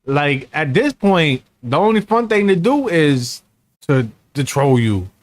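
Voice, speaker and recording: A man's voice: on the high side, very animated, measured pace, no echo. A man in his thirties riffing over a video he's watching, his voice bouncing up and down as he teases and jokes in easygoing slang. It sounds close and dry, with no room around it. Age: 30s. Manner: mocking, laid-back banter with slang. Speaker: streamer reacting to a video. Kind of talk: casual reaction commentary.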